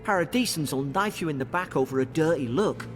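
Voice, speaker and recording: Male actor as loyal soldier, video game companion, slightly high voice, earnest, cautious, loud music behind. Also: expressive, a little fast, natural voice. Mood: firm, defensive. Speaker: game character. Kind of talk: video game dialogue acting.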